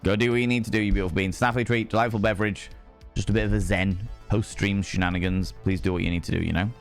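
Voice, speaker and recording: A man with a hoarse, worn-out voice sits at his stream and banters with his chat, throwing out showy, teasing greetings. His voice sits on the low side, with faint music under him. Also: steady tone, fast. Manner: playful, theatrical greetings to chat. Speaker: livestream host. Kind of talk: casual chat with viewers.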